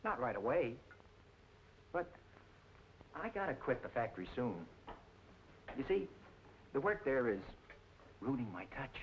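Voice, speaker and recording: An actor playing an affable, earnest young trumpet player and suitor in an old-time radio drama, polite and easygoing. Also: male voice, medium pitch, very animated, a little slow, long pauses. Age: young adult. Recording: muffled hissy old radio recording.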